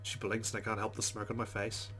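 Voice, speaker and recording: A man's voice: on the low side, completely flat delivery, fast, bright, forceful tone. A man hosting a let's play, reading visual novel text aloud and adding informal, chatty commentary.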